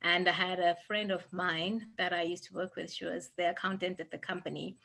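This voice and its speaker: A young woman from Zimbabwe, a guest telling her story in a relaxed, animated way and laughing easily. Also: lowish voice, fairly quick, gravelly. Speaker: interview guest. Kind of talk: casual storytelling.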